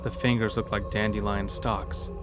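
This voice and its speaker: A man's voice: lowish voice, flat delivery, moderate pace. A man narrating a scripted first-person horror story in a dramatic storytelling manner. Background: background music.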